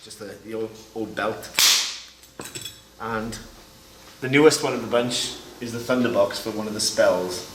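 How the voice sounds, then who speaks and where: A man giving an informal, explanatory demonstration as a Foley artist, pausing as he shows each item. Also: lowish voice. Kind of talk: informal demonstration of sound-effect props. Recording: echoey, distant mic, loud noise and clicks.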